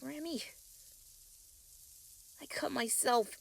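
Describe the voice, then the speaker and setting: A man voicing a timid, sweet little girl who talks in a halting, meek, apologetic way in a comic dub. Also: very high voice, vocal fry, exaggerated voice. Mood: tearful, frightened. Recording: loud background music under voice.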